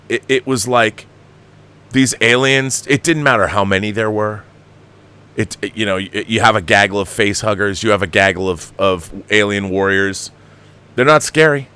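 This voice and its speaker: A man hosting a film commentary livestream, talking casually and bluntly in an emphatic, halting, opinionated way, repeating himself for emphasis. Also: on the low side, fairly bright tone. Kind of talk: casual opinionated rant, answering viewer comments.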